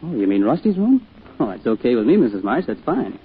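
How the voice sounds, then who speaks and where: An American actor playing a young orphaned sailor, earnest, shy and polite, speaking hesitantly in 1940s radio drama style. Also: male voice, medium pitch, very animated, brisk, breathy edge. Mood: eager, grateful. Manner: hesitant, humble, folksy. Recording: tinny, noisy old radio broadcast.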